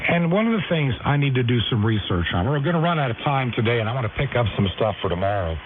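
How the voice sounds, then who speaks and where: A man's voice: mid-range voice, sing-song, fast talker. A man hosting conversational talk radio, rambling along and asking rhetorical questions. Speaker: radio host. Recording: tinny, noisy shortwave radio band.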